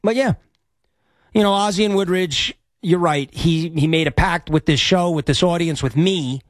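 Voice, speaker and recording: High-pitched cocky guy hosting sports radio, opinionated, bit braggy, dry studio sound. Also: moderate pace, dry recording. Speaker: sports talk radio host. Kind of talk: sports talk radio banter.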